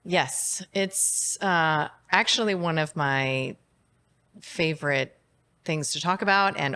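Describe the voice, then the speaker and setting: A woman's voice: sits low, pushed and bright, clean tone. A middle-aged woman interviewed as a guest psychiatrist, speaking in a candid, reflective way and laughing easily. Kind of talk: interview conversation.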